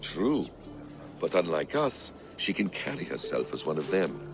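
A brooding, sly warrior-scholar from a video game. The voice sits low but moves expressively, with a sardonic, probing manner. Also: male voice, very animated, measured pace, background noise, heavily acted. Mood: scheming. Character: wry, shrewd, brooding male warrior-scholar, a sly schemer. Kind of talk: video game cutscene acting.

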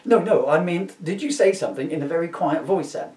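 A man's voice: on the high side, expressive, fairly quick, darkish tone, lightly acted. A man voicing an earnest, declamatory young superhero who gets mildly exasperated, in a comic storybook reading. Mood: puzzled. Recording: roomy, distant camera microphone.

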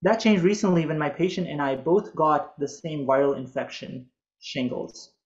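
A man in his thirties whose first language is Urdu, reading a prepared talk in a measured, earnest way over a video call from a home office. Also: fairly high pitch, lively intonation, dull audio. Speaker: physician presenter.

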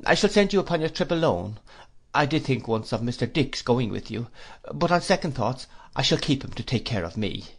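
A man voicing a brisk, strong-willed elderly aunt in an audiobook, speaking decisively and with emphasis. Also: mid-range voice, animated, husky. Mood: emphatic. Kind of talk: audiobook character reading.

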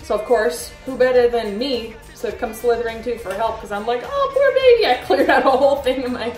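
A young woman in her twenties chatting casually in a vlog, gushing about her pet snakes and breaking into high baby talk. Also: expressive, brisk, far from the mic. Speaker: reptile keeper vlogger. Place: small bedroom reptile room indoors. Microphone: camera a little way off. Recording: roomy echo, voice a bit off-mic, faint music.

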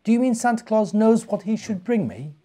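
Eager young storybook boy, played by a man, British, exclamatory, with lifted pitch. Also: animated, a little fast, mellow. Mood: hopeful.

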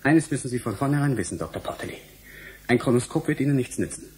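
A man's voice: medium pitch, lively intonation, fast talker, a little mellow, close to an everyday voice. A man playing an earnest, blunt young scientist in a German radio drama, explaining things emphatically and impatiently. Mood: firm, explaining.

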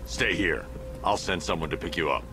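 A man playing a grim, menacing vigilante crime fighter in a video game, growling low and gravelly. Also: normal speed, music in the background, lightly acted. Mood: grave, resolute. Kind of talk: video game cutscene acting.

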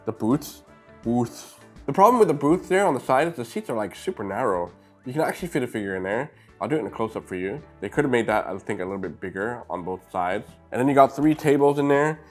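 A middle-aged man with a slightly sniffly nose gives a casual, upbeat toy review, speaking straight to his viewers on a clip-on mic. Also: medium pitch. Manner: chatty, upbeat, talks to viewers directly. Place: indoor room lined with shelves of brick sets. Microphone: clip-on lapel mic.

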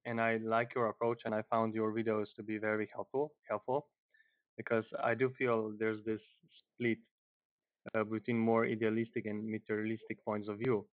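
A man's voice: on the low side, level tone, moderate pace, no room sound. Man, thirty, Slovenian accent, hesitant informal delivery, dry narrow phone-quality audio. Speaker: online group member introducing himself. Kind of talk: informal self-introduction on a group call. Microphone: internet or phone call, headset.

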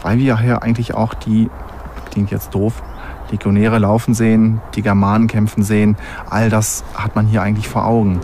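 A man's voice: on the low side, little pitch movement, moderate pace, dark tone, a little hiss or hum. A man speaking informally and off the cuff in an interview, as an expert.

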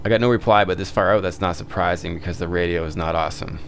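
Low-voiced man narrating quickly. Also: gravelly, background noise. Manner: explanatory and upbeat. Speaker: video creator narrating. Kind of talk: voice-over narration.

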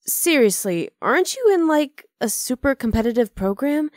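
A woman's voice: sits high, very animated, moderate pace, darkish tone, no echo. Close, dry, teasing half-dragon girlfriend voiced by a woman. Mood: puzzled, chiding. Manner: casual, coaxing, affectionate teasing. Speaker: ASMR roleplay voice actor. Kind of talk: ASMR roleplay acting.

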